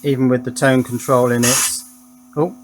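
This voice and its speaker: A man's voice: medium pitch, flat delivery, moderate pace, a little hiss or hum. British man musing through a hands-on demo. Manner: musing aloud, with tag questions. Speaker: electronics hobbyist presenting a workbench demo. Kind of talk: casual hands-on tutorial narration.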